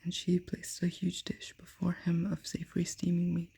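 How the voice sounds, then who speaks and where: A woman reads a fairy tale in a soft whisper. Her breathy voice is dark and almost flat, with a faint gravelly texture. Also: on the low side, moderate pace. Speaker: storyteller. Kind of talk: whispered ASMR storytelling.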